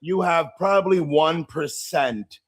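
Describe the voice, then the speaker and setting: A man argues indignantly on a live panel debate. His voice is slightly high, and he speaks slowly and deliberately. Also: no room sound. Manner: indignant, argumentative.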